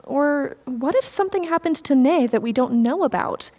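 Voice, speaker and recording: An anxious female crew member in a sci-fi audio drama. Her voice is high and swings about in pitch as she speaks in a hesitant, questioning way. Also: expressive, moderate pace, warm tone. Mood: worried.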